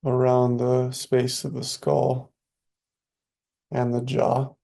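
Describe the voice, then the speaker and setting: A man leading a guided meditation in a soothing, unhurried way, with spare phrases and long pauses between them. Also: mid-range voice, monotone, creaky, mellow.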